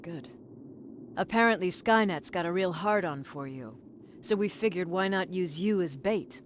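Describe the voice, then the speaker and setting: Ruthless, blunt female commander for a war game, played by an actress, lower register, noisy surroundings. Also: expressive, moderate pace, close to an everyday voice. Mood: cold, blunt. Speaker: resistance commander. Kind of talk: video game dramatic acting.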